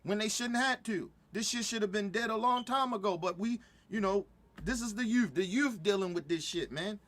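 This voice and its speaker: A man's voice: sits high, moderate pace, fairly bright tone. A middle-aged man giving heated, profane reaction commentary, repeating himself and restarting his sentences as he goes. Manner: heated, repetitive, stumbling restarts.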